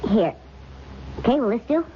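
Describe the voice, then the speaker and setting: Actress as a sarcastic, lively, higher-voiced woman. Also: normal speed, natural voice. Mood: wry. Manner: sarcastic, breezy, teasing. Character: wry, unimpressed woman, companion to angry author. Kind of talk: old-time radio drama acting. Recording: muffled, noisy old radio drama recording.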